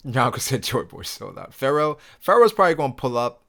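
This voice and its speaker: A young man hosting a casual livestream, reading viewer comments aloud and answering them in a relaxed, rambling way. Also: mid-range voice, a little fast, gravelly. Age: young adult. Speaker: livestream host reading chat.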